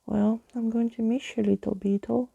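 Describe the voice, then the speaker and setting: A kind, imaginative storybook girl, read by a woman. She sounds soft and husky with a mellow tone, speaking earnestly and tenderly to encourage her friends. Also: a little high, little pitch movement, normal speed, lo-fi sound, pitched above the performer's own voice, heavily acted.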